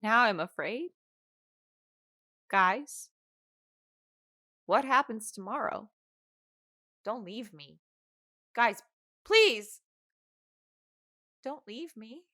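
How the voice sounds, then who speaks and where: A woman's voice: a little high, big pitch swings, drawn-out words, long pauses, bright, forceful tone, dry recording, clean studio sound, heavily acted. A woman playing a confused, frightened captive in an audio drama, hesitant and full of questions. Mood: afraid.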